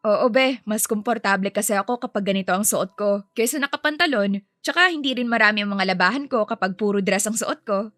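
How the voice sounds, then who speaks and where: A woman voicing a bubbly, polite young nanny who is easily flustered, animated and friendly, in an audio story. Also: medium pitch, a little fast, no room sound, soft background music, close to an everyday voice. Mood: friendly, cheerful. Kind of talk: acted audio story dialogue.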